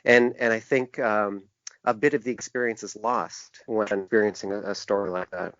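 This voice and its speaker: A man with a lowish voice speaks as an interview guest in a thoughtful, halting way, circling back over his words as he works out an answer. The recording is dry and somewhat dull. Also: steady tone, fairly bright tone, no room sound. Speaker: interview guest, publisher and graphic designer.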